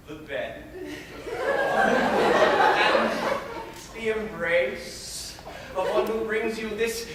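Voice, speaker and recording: Actor doing a smug, controlling guardian type; high, swooping verse delivery, slow and bright, echoey hall from afar. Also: male voice, recorded from a distance, very noisy, heavily acted. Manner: declaims rhyming verse theatrically. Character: pompous middle-aged male guardian, controlling and smug. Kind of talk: stage monologue. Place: high school auditorium.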